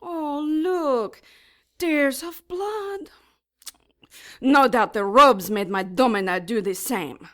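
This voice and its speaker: A cruel she-demon for a radio play, drawing out every word very slowly. She is mocking and menacing, relishing each threat. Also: high-pitched, fairly bright tone. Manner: slow, mocking, savoring threats. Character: sadistic, taunting female demon, silky and menacing. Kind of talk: audio drama acting.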